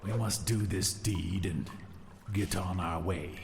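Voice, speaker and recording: A man's voice: low voice, takes it slowly, vocal fry, exaggerated voice. A man playing a dour, impatient robed adventurer in a fantasy audio drama, curt and dry. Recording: reverberant hall effect, some noise.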